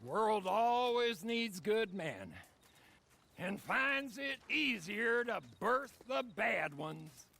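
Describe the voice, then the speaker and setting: A man playing a rambling, eccentric old American loon of the Wild West in a video game, raspy and folksy. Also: sits high, sing-song, drawn-out words, bright, forceful tone, exaggerated voice. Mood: philosophical. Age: older. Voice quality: croaky, raspy, wavering. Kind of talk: video game cutscene acting.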